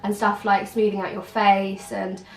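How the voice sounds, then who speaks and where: A young woman in her early twenties speaks in a flat, even tone and keeps reaching for "like". She sits back from the mic in an echoey room. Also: mid-range voice, measured pace, vocal fry, far from the mic, soft background music. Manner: hesitant, full of "like" fillers. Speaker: student interviewee. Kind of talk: documentary interview.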